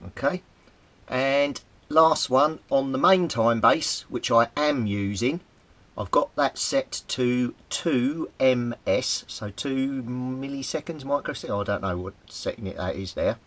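Casting: British male hobbyist presenter, mid-pitched voice, plain-spoken and explanatory, for informal hands-on demonstrations. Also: unhurried, a bit bright. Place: small indoor hobby workshop.

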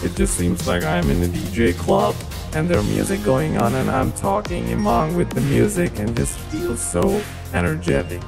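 A man's voice: on the high side, big pitch swings, moderate pace, vocal fry, pitched above the performer's own voice. A man demonstrating a club DJ voice-changer effect, talking along with electronic music. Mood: upbeat demo. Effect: DJ voice-changer filter. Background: loud electronic club music.

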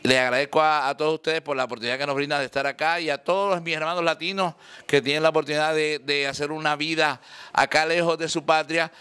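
Man in his forties, boisterous, joking, slightly high voice, press conference at a restaurant table. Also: fairly even intonation, quick pace, bright, forceful tone, smooth. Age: 40s. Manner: boisterous, tells jokes, laughs often. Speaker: salsa singer at a press conference. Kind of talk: joking, effusive press talk. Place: indoor restaurant, seated at a table. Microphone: table microphones, later handheld mic.

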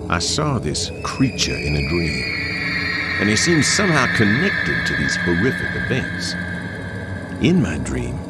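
A grave man chronicling prison horrors in a horror game. His voice is very low and moves dramatically through each measured, literary phrase. The tone is ominous throughout, with loud music underneath. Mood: brooding. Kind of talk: dramatic scripted narration.

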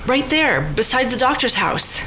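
A woman's voice: sits high, sing-song, moderate pace, sharp tone, soft background music, exaggerated voice. A woman playing an anxious, distrustful wife and mother in an audio thriller. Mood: concerned. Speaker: radio drama actress. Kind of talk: audio drama acting.

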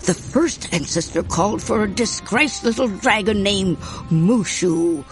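A woman playing a fond grandmother, narrating a storybook in a measured, expressive way. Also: mid-range voice, soft background music, close to an everyday voice. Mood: fond, dramatic.

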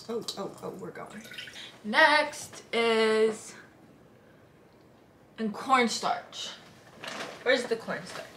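Young woman, home cooking vlogger, bright voice, slangy and unscripted, roomy kitchen sound. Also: on the high side. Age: young adult. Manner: informal, slangy, thinking aloud. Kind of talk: casual vlog chat while cooking. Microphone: camera set up a step away. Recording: echoey room, faint noise, kitchen clatter.

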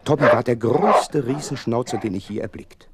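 An actor playing an earnest gentleman doctor who recounts a case in florid, wry storytelling in an audio drama. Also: medium pitch, moderate pace, a crowd in the background, natural voice. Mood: florid narrating. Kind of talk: dramatised first-person narration, German audio drama.

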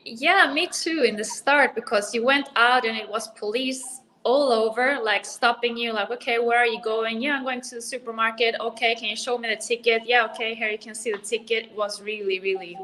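A woman's voice: a little high, fairly even intonation, brisk, bright, forceful tone, a little hiss or hum, low-quality recording. A woman in her thirties from Norway, raised in Spain, talking casually as a boxer guest on a video call and laughing often. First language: Spanish or Norwegian. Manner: laughs often, friendly and easygoing. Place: bright room indoors. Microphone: laptop webcam on video call.

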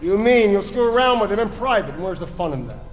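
A man's voice: high voice, lively intonation, fast talker. A man playing a crude, mocking, sadistic showman villain in a video game, with sneering theatrical delivery. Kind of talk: video game cutscene acting.

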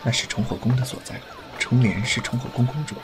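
A man's voice: medium pitch, quick pace, loud music behind the voice, close to an everyday voice. A man playing a courteous, protective young swordsman in a Chinese wuxia audio drama, speaking politely and earnestly. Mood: calm, gentle.

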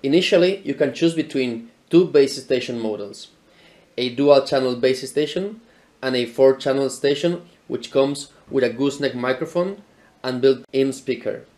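A man's voice: medium pitch, moderate pace, a little mellow. A man in his thirties from Spain presenting equipment in a careful, explanatory scripted style, filmed in an indoor showroom with a camera mic at medium distance. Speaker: product presenter.

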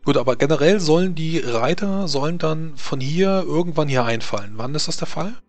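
A man leads a tabletop game as game master, walking his players through the rules in an even, measured way. Faint music plays in the background. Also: fairly high pitch. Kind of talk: running a tabletop strategy game over voice chat.

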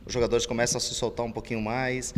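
A middle-aged man, a football coach, giving a post-training interview into a handheld mic outdoors, speaking in a measured, hesitant way. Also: mid-range voice, level tone, in a large hall, background noise. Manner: measured, hesitant, many tag questions. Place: outdoor press backdrop at a stadium. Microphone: handheld reporter mic.

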